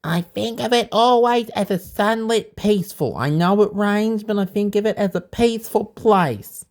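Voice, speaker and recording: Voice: mid-range voice, moderate pace, darkish tone, voice pushed up, lightly acted. A storybook reader voicing an anxious, plaintive young child. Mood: wistful.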